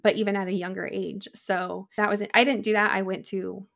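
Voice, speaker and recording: A woman's voice: medium pitch, flat delivery, brisk, vocal fry, fairly bright tone. A woman guest from the Czech Republic, chatting casually in a podcast interview, laughing often and trailing off mid-sentence.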